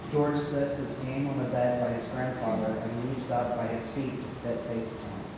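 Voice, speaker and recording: A man's voice: mid-range voice, little pitch movement, moderate pace. A man reading out scripted stage directions as narration at a play read-through. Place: indoor theatre, recorded from afar. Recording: echoing hall, distant mic, noisy.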